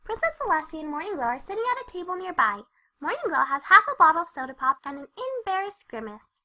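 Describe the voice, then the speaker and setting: A woman's voice: very high-pitched, very animated, fast talker, bright, forceful tone, full character voice. A woman voicing a hyper, cheerful young female cartoon pony who narrates her story in a chatty, scatterbrained way. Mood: breezy, bubbly. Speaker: first-person narrator of a fan fiction reading. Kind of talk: animated character narration.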